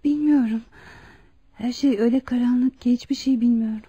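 A dazed female patient in a radio drama, plaintive and faltering. Her voice is very soft-toned and breathy, fairly high. The recording is dull and muffled. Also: steady tone, moderate pace, no echo. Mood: confused. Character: dazed, anguished female patient with memory loss. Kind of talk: Turkish radio theatre acting.

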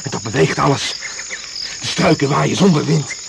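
Frightened exhausted man, jittery fragmented delivery, heavy rasping creak, radio drama, clear insect noise. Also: fairly high pitch, moderate pace. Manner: jittery, insistent, fragmented phrases. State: worn out, agitated. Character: exhausted, spooked male jungle crash survivor. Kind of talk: Dutch radio play acting. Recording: noisy, insects and frogs behind.

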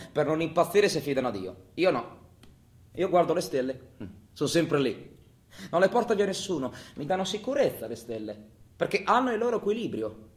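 Stage monologue: male actor as long-term prisoner, rigid and wry, fairly high male voice, very animated delivery, profane and colloquial. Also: close to an everyday voice. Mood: measured, intense. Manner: swings from muttered confiding to barked, drawn-out announcements. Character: long-serving male prison inmate, obsessive about order, solitary, sardonic.

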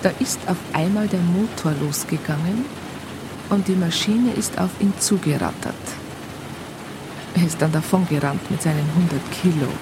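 A woman's voice: lowish voice, moderate pace, noisy background, natural voice. A woman playing a police colleague who recounts a case in a matter-of-fact, colloquial way with a Bavarian accent. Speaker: narrator. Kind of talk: first-person conversational narration in radio crime drama.

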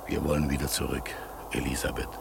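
A man acting in a German radio play as a gentle, melancholy talking raven, with a husky, gravelly voice and halting, hushed delivery. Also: very deep voice, little pitch movement, background noise, full character voice. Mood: mysterious, gentle.

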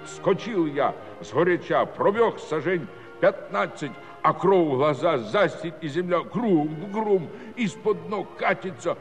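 A man's voice: a little high, expressive, in a large hall, faint music behind. An actor playing a proud, earnest village chairman, telling his story in a folksy in a stage musical. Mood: dramatic, urgent. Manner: folksy rural storytelling with dramatic pauses. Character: Cossack village chairman recounting a bandit attack, earnest and proud. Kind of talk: theatrical dramatic monologue in a musical play. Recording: 1979 studio musical recording.

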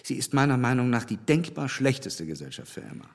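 Critical gentleman, wry, formal, radio play, lively pitch swings, slightly rough voice. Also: a little fast. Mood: disapproving, critical. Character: frank, critical English gentleman, dryly teasing. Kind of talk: literary radio play dialogue.

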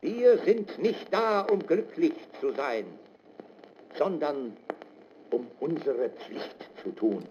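A man's voice: a little high, expressive, slow pace, darkish tone, lo-fi sound. A man playing a stern officer giving a declamatory, solemn propaganda speech on a noisy old 1936 gramophone recruitment record. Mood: stern, exhorting.

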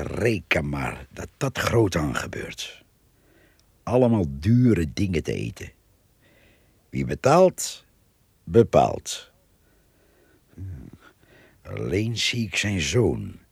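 A man playing a gossiping village man in a radio drama, gravelly and husky, with knowing, pointed phrasing. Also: very deep voice, no room sound, full character voice.

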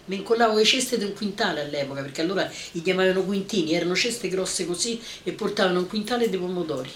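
Fast-talking elderly Roman woman. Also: on the low side, animated. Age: 70s or older. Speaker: eyewitness recalling wartime. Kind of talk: spontaneous oral testimony. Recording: roomy, echoing interview recording.

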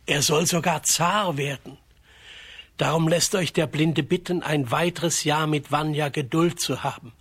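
A gentle old peasant father for a children's radio play. He speaks slowly and earnestly, with a very raspy, breathy voice and a halting, careworn manner. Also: on the high side, steady tone, exaggerated voice. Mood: earnest, pleading.

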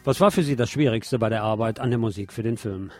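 A man's voice: fairly low pitch, quick pace, loud music behind the voice. A man hosting a radio programme, presenting and reading aloud in a formal, courteous manner. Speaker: radio show host. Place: radio broadcast.

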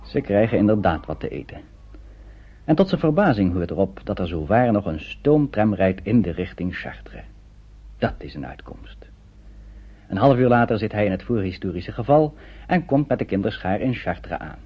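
Husky, low male narrator, thin sound. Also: very animated, moderate pace. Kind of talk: scripted radio drama narration. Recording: thin, phone-like old radio recording.

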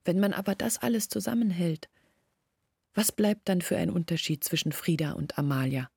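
An actress playing an earnest, reflective village woman in a German literary radio drama, speaking in measured formal phrases. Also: on the low side, lively intonation, vocal fry, darkish tone, slightly rough, no echo, clean studio sound, exaggerated voice. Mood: pondering.

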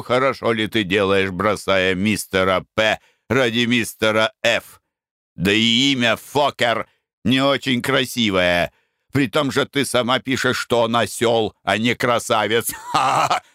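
A man voicing a boastful older father in a chatty letter, read in a clear, smooth voice. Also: lowish voice, sharp tone, no echo, clean studio sound, full character voice.

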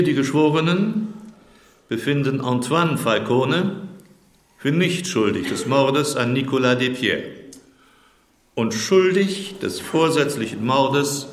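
An actor playing a solemn jury foreman, formal and measured, in a radio drama. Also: medium pitch, reverberant, a little hiss or hum. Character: solemn male jury foreman reading a verdict.

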